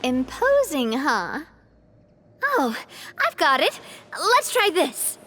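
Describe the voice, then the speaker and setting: A woman's voice: high-pitched, huge pitch swings, slow, pushed and bright, exaggerated voice. An actress playing a confident young woman who leads a guild, decisive and upbeat, in a game cutscene. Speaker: guild leader. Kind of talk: video game cutscene dub.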